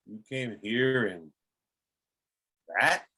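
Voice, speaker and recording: A man playing an imposing, mocking old explorer in a cartoon script read, with drawn-out, incredulous delivery. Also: on the low side, lively intonation, sharp tone, slight background noise, heavily acted. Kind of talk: amateur table read of an animated film script.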